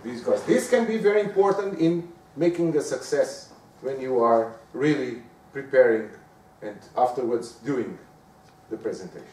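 A man from Portugal with a Portuguese accent, whose first language is Portuguese, giving a lively lecture on presenting and often asking the audience rhetorical questions. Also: medium pitch, moderate pace. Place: lecture room. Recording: echoey room, speaker far from the mic.

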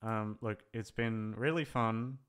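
A man's voice: on the low side, steady tone, slow, a bit bright, clear, resonant tone. A man talking casually without a script, rambling and hesitant in a self-deprecating way, thinking aloud. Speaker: audio channel creator, voice actor. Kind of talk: unscripted casual farewell vlog.

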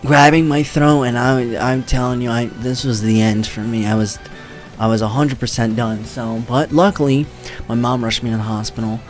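A young man in his twenties talking casually to camera from a home streaming setup, his voice a little worn and his talk loose and rambling with frequent swearing. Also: mid-range voice. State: voice weakened from treatment. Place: home streaming room, indoors.

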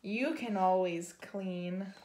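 A woman voicing a big, commanding mother canary with an emphatic, booming delivery in a children's story. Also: slow pace, darkish tone, echoey room, lightly acted. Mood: stern, commanding.

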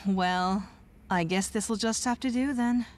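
A woman playing a gentle, devout caretaker at a religious children's home in a game, speaking politely and measuredly. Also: medium pitch, drawn-out words. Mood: troubled. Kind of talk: scripted Japanese video game dub.

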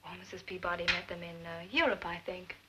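A woman's voice: on the low side, fairly even intonation, quick pace, very noisy, natural voice. An actress playing a nervous, loyal young woman companion in a 1940s film. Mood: uneasy. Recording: old film soundtrack with music behind.

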